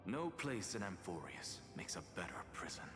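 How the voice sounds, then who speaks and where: A calm scheming alchemist. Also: male voice, low-pitched, moderate pace. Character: cool scheming male genius alchemist. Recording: game audio with reverb and music.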